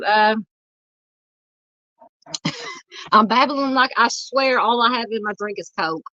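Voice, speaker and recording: Southern gal rambling on a livestream, lots of ums, voice kinda going, dull dry mic sound. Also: female voice, on the high side, sharp tone, no echo. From Southern United States. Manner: rambling, chatty, many fillers. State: losing her voice. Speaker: true crime livestream host. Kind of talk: casual livestream chat reading comments.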